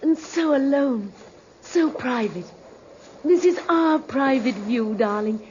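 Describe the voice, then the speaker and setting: An actress in a 1950s radio drama playing an adoring, sentimental young mistress, gushing and emotional. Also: female voice, sits high, lively intonation, takes it slowly, darkish tone. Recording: muffled, noisy vintage radio recording.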